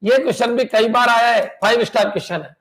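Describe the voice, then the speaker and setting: High-voiced man drilling exam students. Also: fast, fairly bright tone. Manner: repetitive, drilling, quizzes students with "clear?" and "बच्चों"; emphatic, pushes exam tips and motivation. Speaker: computer science exam coach. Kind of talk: classroom lecture to exam students.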